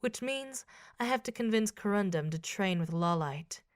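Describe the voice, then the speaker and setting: A woman playing a cool, scheming, combative villainess in an audio drama, speaking in a measured way. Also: mid-range voice, animated, dry recording, clean studio sound, lightly acted. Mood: calculating.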